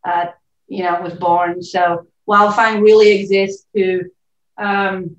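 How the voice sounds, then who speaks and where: A woman's voice: medium pitch, little pitch movement, takes it slowly. A woman with a Northern Irish accent, chatting upbeat as a podcast guest. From Northern Ireland. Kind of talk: podcast interview, remote. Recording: echoey, distant, muffled call audio.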